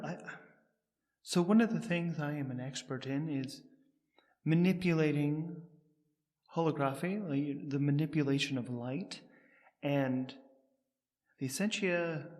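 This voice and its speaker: Nervous male scientist acted by a man, audio drama, agitated and halting, highish voice, reverberant space. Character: nervous, excitable male holography expert, wary and fretful. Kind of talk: solo audio drama role-play.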